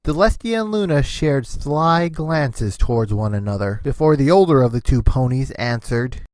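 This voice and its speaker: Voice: medium pitch, animated, moderate pace, a little mellow, no room sound. A narrator reading a scripted story between the characters' lines.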